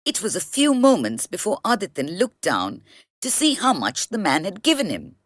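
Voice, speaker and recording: A woman narrating a children's storybook read-aloud in a deliberate, expressive storytelling manner. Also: medium pitch, no room sound, studio quality.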